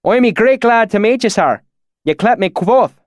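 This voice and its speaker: A man's voice: on the high side, lively intonation, a little fast, no room sound, studio quality, voice pushed up, lightly acted. A man voicing a clever young man who puts on a folksy country dialect to win over a stranger. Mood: sly folksy. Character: young man slyly faking a rustic dialect.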